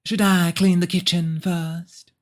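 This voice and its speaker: A man's voice: high-pitched, level tone, slow pace. A man voicing a sweet, obedient young girl with an innocent, eager and polite manner.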